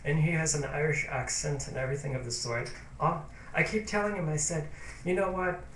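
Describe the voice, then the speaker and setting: A man's voice: a little high, animated, brisk, a bit bright, far from the mic, slight background noise. Rambling man vlogging in a roomy space. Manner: rambling, hesitant, full of fillers and restarts; sentimental, confiding. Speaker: YouTube vlogger. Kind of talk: casual diary vlog. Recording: roomy echo.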